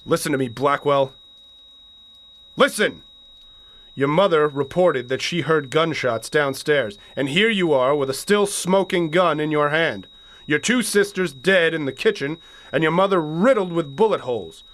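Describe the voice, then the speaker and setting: A hard-boiled sheriff in a radio thriller, with a fairly high male voice. He is stern, commanding and deliberate, over clearly audible background noise. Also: fairly bright tone. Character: stern, hard-boiled small-town sheriff making an arrest.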